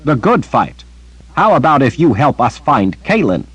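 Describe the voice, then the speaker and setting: A man voicing an older woman, a stern, sharp-tongued sorceress with a scolding, clipped manner. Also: medium pitch, wildly swooping pitch, a little slow, breathy edge, no room sound, voice pushed up, heavily acted, poor audio.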